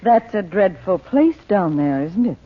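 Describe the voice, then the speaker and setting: A woman's voice: medium pitch, lively intonation, unhurried, a little hiss or hum, close to an everyday voice. A woman playing a frightened, dazed mother. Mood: distressed. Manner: dazed, fearful. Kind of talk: 1930s radio drama acting. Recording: thin, tinny old radio recording.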